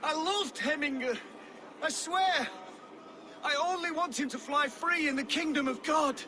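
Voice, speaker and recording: A panicky, pleading Saxon priest. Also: male voice, very high voice, a little slow, background noise. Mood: frightened pleading.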